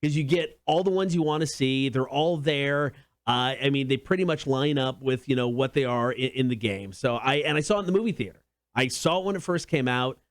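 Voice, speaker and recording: A man's voice: mid-range voice, fairly quick, no room sound. A man co-hosting a casual entertainment talk show, chatting informally. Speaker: show co-host.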